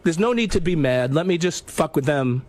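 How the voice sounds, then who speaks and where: High-pitched, defensive, stammering man. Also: expressive, moderate pace, darkish tone, no echo. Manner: defensive, stammering, repeats himself, swears. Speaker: radio show staff member arguing on air. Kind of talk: heated argument in casual radio talk.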